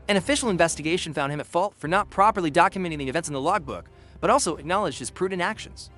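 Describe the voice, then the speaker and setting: A man's voice: high-pitched, fairly bright tone. A man reading scripted history narration in a grave, suspenseful, documentary storytelling manner.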